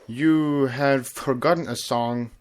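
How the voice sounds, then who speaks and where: A man co-hosting a casual fan podcast, talking in a halting, rambling way and often repeating words. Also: medium pitch, steady tone. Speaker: podcast co-host.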